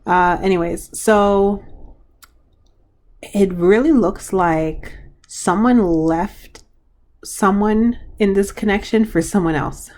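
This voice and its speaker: A hoarse woman giving a pick-a-card reading to her viewers, talking frankly with frequent restarts. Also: medium pitch, slow pace, a little mellow. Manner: frank, chatty, trailing restarts. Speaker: tarot card reader.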